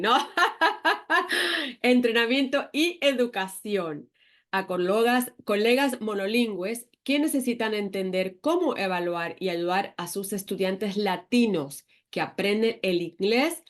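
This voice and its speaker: A woman hosting a parenting podcast, reading the introduction and then chatting casually with the guest. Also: mid-range voice. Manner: reads the intro, then chats loosely with laughs. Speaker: podcast host. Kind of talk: scripted introduction and casual chat.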